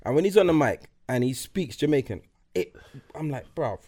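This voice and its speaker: A man's voice: medium pitch, big pitch swings, normal speed, mellow. A man co-hosting a podcast in casual banter, speaking, repeating himself and restarting as he explains. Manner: repetitive, restarts, mock-serious. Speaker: podcast co-host. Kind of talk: casual banter among friends.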